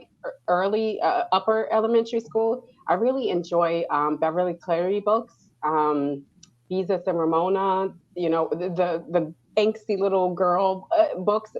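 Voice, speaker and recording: Lower-voiced woman, dull lo-fi sound. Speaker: author and publisher. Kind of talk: panel discussion answer.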